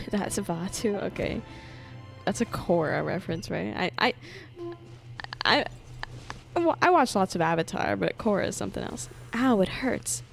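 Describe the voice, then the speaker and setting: A woman's voice: medium pitch, lively intonation, unhurried, vocal fry, long pauses. A young woman giving casual, slangy, jokey commentary as she reacts to a video game. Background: game music.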